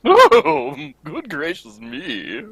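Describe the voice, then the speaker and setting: A man playing a fussy, eager, loyal gentleman sidekick in a radio mystery, polite, exclamatory and inquisitive. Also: high-pitched, wildly swooping pitch, drawn-out words, creaky, breathy edge, no echo, exaggerated voice. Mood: astonished. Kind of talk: old-time radio mystery acting, read live.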